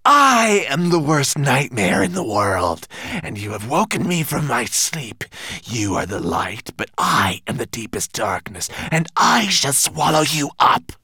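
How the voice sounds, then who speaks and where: A man voicing a menacing cockerel-headed monster in a storybook reading, with a shrill, screeching, hissing voice and a gloating cackle. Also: sing-song, a little slow, sharp tone, husky, no echo, heavily acted.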